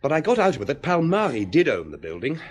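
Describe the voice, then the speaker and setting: A fairly high-voiced, lively radio-drama detective giving brisk reports. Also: male voice. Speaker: police inspector.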